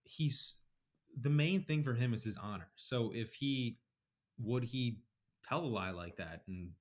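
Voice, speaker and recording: A man's voice: medium pitch, a little slow. A man co-hosting a podcast, reviewing a TV episode in informal, slangy, bantering talk. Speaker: podcast co-host. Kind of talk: casual chat reviewing a TV episode.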